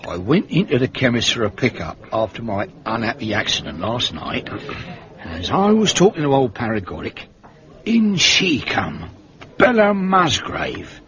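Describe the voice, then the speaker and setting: A dull-sounding radio recording of a grumbling Cockney manservant. He is blunt, nosy and sarcastic, with a mid-pitched voice that rises and dips freely as he gossips. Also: very animated, a little slow, a bit bright. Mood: suspicious, gossipy. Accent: Cockney London. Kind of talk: British radio detective drama acting.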